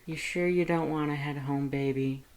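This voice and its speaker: A narrator doing a soft, soothing sweet boyfriend. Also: mid-range voice, steady tone, moderate pace, voice pushed up, lightly acted. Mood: gentle, probing. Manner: soothing, amused, gentle.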